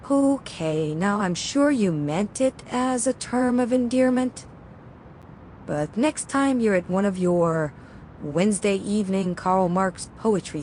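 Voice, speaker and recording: A woman voicing a cocky, teasing fox-costumed vigilante in an animated series, with sarcastic quips. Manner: sarcastic, unhurried quipping. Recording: clear low rumbling background noise.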